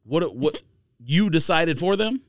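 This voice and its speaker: A man's voice: on the high side, animated, a little slow, no room sound, clean studio sound. An American man co-hosting a podcast, arguing insistently in a casual sports debate. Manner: argumentative, insistent. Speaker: podcast co-host.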